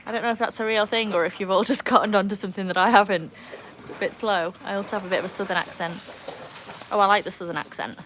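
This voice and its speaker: A woman's voice: medium pitch, fairly even intonation, fast, a bit bright, a little hiss or hum. A young woman with a mixed Welsh and English accent, hosting a casual livestream outdoors by a pool, chatting and reading comments aloud. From Wales. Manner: chatty, reads chat comments aloud, laughs easily. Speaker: livestream host.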